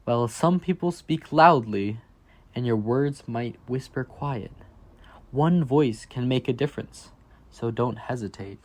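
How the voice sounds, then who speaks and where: Slow-paced man reading a picture book. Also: mid-range voice. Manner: emphatic, raises voice on key phrases. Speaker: reader for a children's reading program. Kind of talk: expressive picture book read-aloud.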